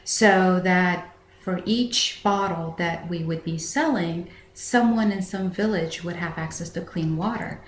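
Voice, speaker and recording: A middle-aged woman from Afghanistan giving earnest, halting answers as an interview guest. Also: fairly low pitch. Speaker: founder of a charitable bottled water company, interview guest. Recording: echoey room, faint background noise.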